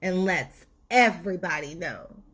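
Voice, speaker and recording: A woman narrating a children's storybook expressively, with deliberate, dramatic phrasing. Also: on the low side, animated, a bit bright. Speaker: storybook reader.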